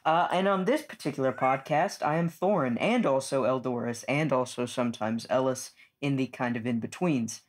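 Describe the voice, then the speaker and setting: Podcast player, medium pitch, dry and wry, casual joking introductions. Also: moderate pace, hardly any pauses.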